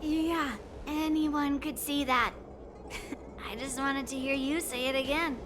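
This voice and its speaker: A woman's voice: high voice, steady tone, takes it slowly, noisy background. A woman playing a proud, mischievous young warrior girl in a video game, blunt and teasing.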